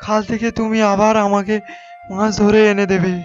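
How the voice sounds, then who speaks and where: Man acting a greedy hapless fishmonger, cartoon, exclamatory, very high, little pitch movement. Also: little pitch movement, normal speed, muffled recording, soft background music, lightly acted. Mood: remorseful. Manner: exclamatory, pleading. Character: gullible, greedy male fishmonger, frightened then remorseful. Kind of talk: Bengali cartoon story dubbing.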